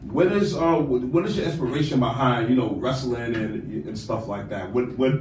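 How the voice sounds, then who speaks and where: A man's voice: mid-range voice, normal speed, darkish tone. A man co-hosting a podcast, talking in a slangy, hyped way and chiming in constantly. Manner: slangy, hype, backchannels a lot. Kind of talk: casual podcast chat. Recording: echoey room, far from the mic, crowd noise.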